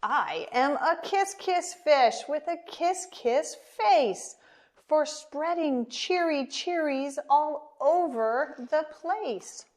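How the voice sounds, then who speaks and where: A woman reading aloud and voicing a glum male pout fish in a sing-song rhyming chant at a slow pace. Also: mid-range voice, natural voice. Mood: cheerful.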